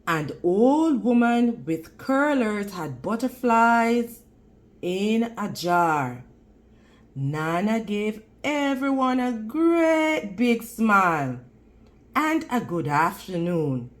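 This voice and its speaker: A woman reading a children's storybook aloud like a teacher, deliberate and instructional toward young listeners. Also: mid-range voice, lively intonation. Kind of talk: children's storybook read-aloud with prompting questions.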